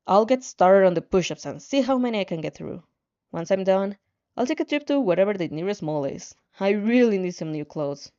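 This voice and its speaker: A woman's voice: medium pitch, lively intonation, a little fast, dark tone, dull audio, close to an everyday voice. A woman voicing an anxious young hero-in-training in a fan fiction reading, reluctant, hesitant and full of doubt. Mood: resigned. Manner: hesitant, stammering, worried.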